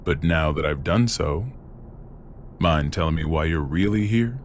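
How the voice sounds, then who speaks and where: An actor playing a genial, shrewd older head of state in an animated game, plainspoken and authoritative. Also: male voice, a bass voice, unhurried, slight background noise. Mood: shrewd, probing. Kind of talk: dubbed animated game cutscene acting.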